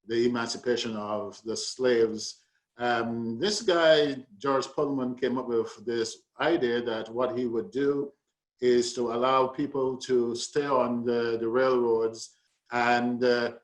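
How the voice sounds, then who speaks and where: Male panelist, mid-pitched and unhurried, measured explanatory storytelling, roomy echoing sound. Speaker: panelist and author.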